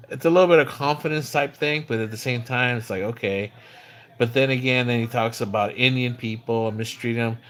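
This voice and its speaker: A man's voice: medium pitch, fairly bright tone. A man livestreaming in a casual, slangy way, rambling conversationally as he tells stories about people. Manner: acts out quoted dialogue when recounting. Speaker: live streamer. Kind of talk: casual livestream chat about another streamer.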